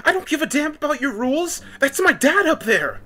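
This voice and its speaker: A man's voice: very high-pitched, big pitch swings, fairly quick, pushed and bright, voice pushed up, heavily acted. A man voicing a distraught, defiant young woman who screams desperately. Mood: desperate anger.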